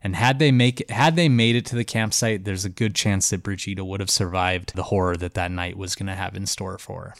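A man's voice: low-pitched, fairly even intonation, brisk, gravelly, few pauses, no echo, clean studio sound. A man hosting a podcast who is congested and tells a story in a loose, chatty way full of "like" and "um". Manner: loose and chatty, with many "like" and "um" fillers. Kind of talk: casual storytelling chat.

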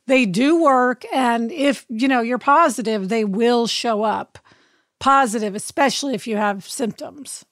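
A woman with a raspy voice from illness, co-hosting a podcast in casual chat and acting out quoted lines. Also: fairly high pitch, a little slow, fairly bright tone, dry recording, clean studio sound. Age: adult with a child. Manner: chatty, acts out quoted dialogue. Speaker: podcast co-host.

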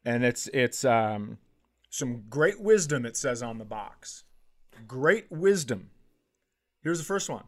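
A man's voice: mid-range voice, sing-song, slow pace. A man hosting a comedy podcast in casual chat, ranting with exasperated sarcasm and swearing.

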